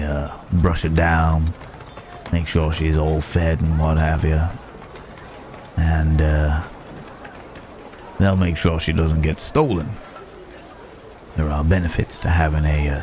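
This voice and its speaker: A man's voice: a bass voice, little pitch movement, background noise. A man playing a roguish, genteel Wild West stranger in an ASMR roleplay, wry and musing, speaking straight to the listener. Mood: easygoing, amiable. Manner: unhurried, wry, musing, with drawn-out pauses.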